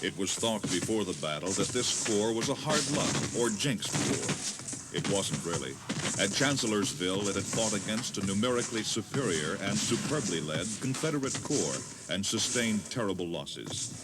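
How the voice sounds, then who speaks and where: A man's voice: lowish voice, expressive, normal speed. A man giving solemn, theatrical scripted narration as a tour guide. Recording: noisy old cassette tape.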